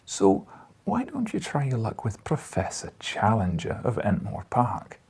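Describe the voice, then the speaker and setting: A man acting crabbed old Scottish news editor, husky breathy voice, swooping pitch, wry, avuncular, audiobook character. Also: wildly swooping pitch, measured pace, darkish tone, full character voice. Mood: coaxing. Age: older.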